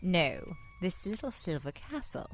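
An actress playing a cool, witty British spy in a spoof radio drama, arch and teasing with a British accent. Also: female voice, fairly low pitch, sing-song, measured pace, poor audio. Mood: arch, curious.

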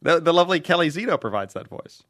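A man's voice: on the high side, very animated, fast, a bit bright, dry recording, clean studio sound. A man co-hosting a podcast in casual conversation. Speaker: podcast co-host. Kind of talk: casual podcast chat, reading listener mail.

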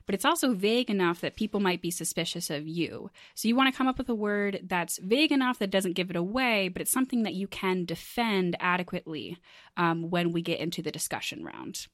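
A woman's voice: fairly low pitch, fairly quick, no room sound, clean studio sound. A woman hosting a casual panel game, chatty and jokey, often laughing as she explains and runs things. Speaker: game show host.

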